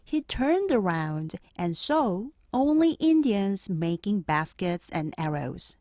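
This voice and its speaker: Animated woman reading a children's storybook. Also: medium pitch, slow, no room sound, studio quality. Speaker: storybook narrator. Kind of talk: children's read-aloud narration.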